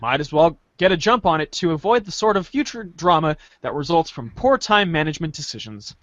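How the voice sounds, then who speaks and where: A man reading a story aloud in a playful, theatrical way, as an animated second-person narrator. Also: a little high, a little fast, no room sound, highs cut off.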